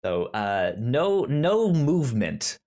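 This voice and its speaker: Slow, hesitant man podcaster. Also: medium pitch, sing-song, darkish tone. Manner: hesitant, trails off, full of "like" and restarts. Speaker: podcast co-host. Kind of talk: casual movie review chat.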